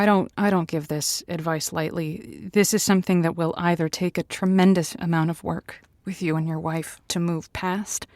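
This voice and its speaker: Sympathetic advice host, dry close sound. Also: female voice, lowish voice, darkish tone, no echo. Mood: sympathetic, careful. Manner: hesitant, stammering, sympathetic. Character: thoughtful, sympathetic female advice-show host. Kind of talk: conversational call-in advice.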